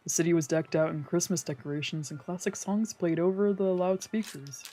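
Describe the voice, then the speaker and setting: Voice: mid-range voice, brisk, dark tone. A streamer reading a visual novel's narration aloud, occasionally stumbling over a word. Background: background music from a video game.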